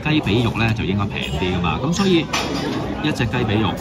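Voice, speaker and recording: A man giving a chatty, casual food review voice-over, musing out loud and mildly critical. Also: on the low side, big pitch swings, fairly quick, heavy background noise.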